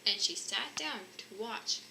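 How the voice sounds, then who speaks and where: A woman reading a children's storybook aloud in an animated narrating style. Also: on the high side, measured pace, creaky. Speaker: storybook reader. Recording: faint background noise.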